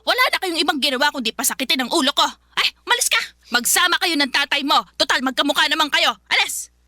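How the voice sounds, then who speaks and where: A woman's voice: high voice, expressive, fast talker, sharp tone, no echo, full character voice. A woman playing a hot-tempered, money-minded mother in a radio drama, scolding in an exasperated, emphatic way. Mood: angry, scolding.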